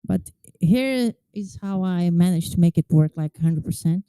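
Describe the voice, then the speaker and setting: A woman from Brazil with a Brazilian Portuguese accent, casually demoing software on a video call, chatty and full of "like" fillers. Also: on the low side, lively intonation, unhurried, smooth, no room sound. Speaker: community tester.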